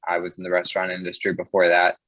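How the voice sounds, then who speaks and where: A man's voice: low voice, completely flat delivery, racing, fairly bright tone, dry recording, highs cut off. A man who is an interview guest from the mortgage business, telling stories in a casual, chatty way and often saying "like". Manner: chatty, peppers speech with "like". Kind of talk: casual conversational storytelling.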